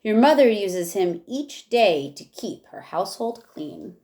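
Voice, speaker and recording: A woman teacher reading a rhyming picture book aloud in a measured way, with casual asides. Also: medium pitch, lively intonation, warm tone.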